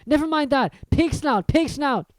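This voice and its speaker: A reader voicing a breathless schoolboy, hushed and urgent. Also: sits high, lively intonation, normal speed, voice pushed up.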